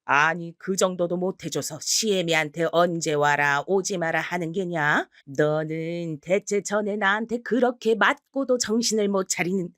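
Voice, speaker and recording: A woman voicing an older, domineering mother-in-law who scolds in a slow, contemptuous way. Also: lowish voice, fairly even intonation, dry recording, clean studio sound, lightly acted. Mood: scolding.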